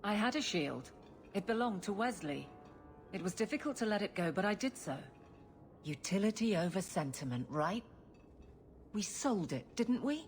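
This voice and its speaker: A woman's voice: mid-range voice, very animated, moderate pace, long pauses, faint music behind. A woman playing a stern, practical warrior in a video game, guarded, blunt and earnest. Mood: hurt, guarded. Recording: faint background ambience noise.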